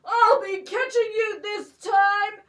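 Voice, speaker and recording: A woman voicing a bumbling, loud male giant in a children's puppet show, shouting and wailing frantically. She is heard through a distant mic. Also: very high voice, steady tone, takes it slowly, reverberant, voice pushed up, heavily acted.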